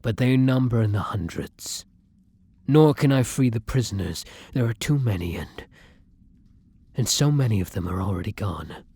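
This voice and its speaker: A man playing a kind, tormented vampire in an intimate roleplay audio drama, murmuring softly and breathily in formal, old-fashioned phrasing. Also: lowish voice, animated, normal speed, vocal fry, breathy edge, dry recording, full character voice. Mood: grave, determined. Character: kind, tormented male vampire, tender and protective lover.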